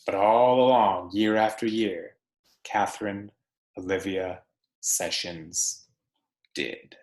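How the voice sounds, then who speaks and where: A man reading a picture book aloud like a teacher, with deliberate, emphatic phrasing and conversational asides for young listeners. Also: on the low side, fairly even intonation, darkish tone, echoey room, poor audio.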